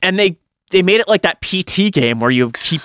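A man's voice: high-pitched, lively intonation, normal speed, a crowd in the background. A man chatting casually as a podcast co-host, enthusiastic and emphatic, swearing freely. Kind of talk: casual chat.

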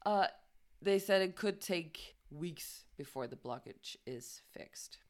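A woman's voice: lowish voice, lively intonation, slow pace, a little mellow. A woman co-hosting a podcast, reading news casually, stumbling over words, swearing and laughing often. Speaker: podcast co-host.

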